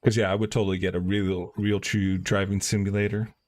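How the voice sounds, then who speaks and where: A man chatting casually and enthusiastically while livestreaming a video game, rambling quickly. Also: lowish voice, level tone, a little mellow, no room sound. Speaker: streamer. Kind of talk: casual gaming livestream chat.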